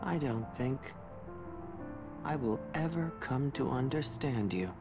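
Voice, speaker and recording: A man's voice: medium pitch, lively intonation, slow pace. A man playing a melancholy, defeated video game villain, speaking in a theatrical, flowery, brooding manner. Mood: resigned. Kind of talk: dramatic video game voice acting. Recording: loud music behind the voice.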